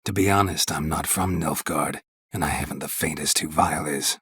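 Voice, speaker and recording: An actor playing a stoic, sardonic monster hunter for hire in a video game, speaking tersely and deadpan in a gravelly, husky voice. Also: male voice, very deep voice, normal speed, no room sound, exaggerated voice. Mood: dry, laconic. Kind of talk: video game character dialogue.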